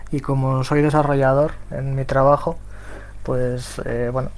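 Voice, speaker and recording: A man's voice: mid-range voice, darkish tone. A man from Spain talking on a remote panel, hesitant, with many restarts and fillers. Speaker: volunteer software translator.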